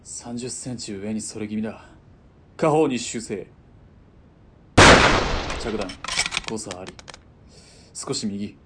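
A man's voice: takes it slowly, pauses often. A man playing a cool, clipped military spotter. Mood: focused, clipped. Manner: clipped, composed. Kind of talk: radio drama acting. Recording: faint rumbling background noise.

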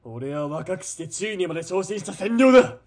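An actor playing an arrogant, high-strung young army lieutenant in a radio drama, with haughty, barking military speech. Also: male voice, lively intonation, fairly quick, a little mellow. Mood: shrill, boastful. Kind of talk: Japanese radio drama acting.

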